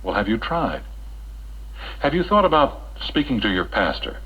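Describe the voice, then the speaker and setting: Casting: kindly chaplain, husky and expressive, earnest counsel, dull old film sound. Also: male voice, on the low side, exaggerated voice. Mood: earnest, devout. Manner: measured, gently probing, earnest. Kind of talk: 1960s educational film acting.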